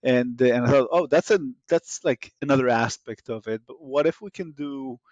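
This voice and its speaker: A man's voice: mid-range voice, moderate pace, darkish tone, dry recording, muffled recording. A man from Israel with an Israeli accent, a startup founder talking animatedly in an interview, restarting often with fillers. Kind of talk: casual interview talk.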